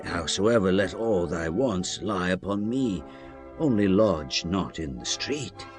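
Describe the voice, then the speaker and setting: A man acts out a kindly old man from a scripture drama. His voice is deep and unhurried, offering hospitality in archaic phrases, with music clearly playing behind him. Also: lively intonation. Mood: kindly concern. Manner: measured, archaic speech. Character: kindly, hospitable old field worker of Bible times.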